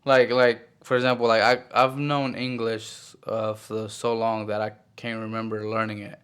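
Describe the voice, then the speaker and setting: A man's voice: fairly low pitch, flat delivery, moderate pace, fairly bright tone, clean tone. A young man co-hosting a casual podcast, rambling curiously with lots of "like" fillers. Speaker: podcast co-host. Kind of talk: casual chat. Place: home podcast setup.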